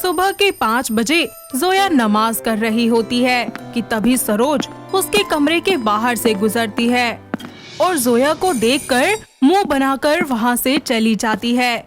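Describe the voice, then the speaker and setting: A woman narrates a scripted story in a high voice. She takes her time with each sentence, and music plays clearly behind her. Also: lively intonation, slow pace.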